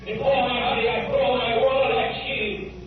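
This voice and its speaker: Shakespeare warrior king, shouty high declaiming, very echoey and far off. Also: fairly even intonation, moderate pace, background noise. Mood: defiant. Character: defiant stage tragedian declaiming as a warrior king. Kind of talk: declamatory Shakespearean stage acting in radio drama. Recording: echoing, distant, tinny old radio, music.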